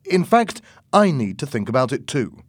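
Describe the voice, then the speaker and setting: A man's voice: medium pitch, big pitch swings, normal speed, slightly rough, no echo, pitched above the performer's own voice. A man voicing a nervous, eager young man, speaking hesitantly. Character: nervous tall young man at a job interview.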